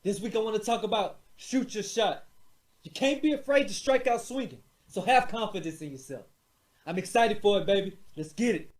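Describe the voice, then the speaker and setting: High-voiced man giving an echoey pep talk. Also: expressive, moderate pace. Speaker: motivational segment presenter. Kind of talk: motivational monologue. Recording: roomy echo.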